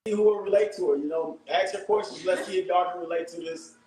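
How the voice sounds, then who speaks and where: A hyped-up man with a drawl hosts a casual livestream, his voice sitting a bit high for a man. He talks loud, swears freely and keeps turning to his viewers. The room is echoey, with a faint hum underneath. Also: fairly even intonation, fast talker. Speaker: livestream host. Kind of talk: casual live-stream chat.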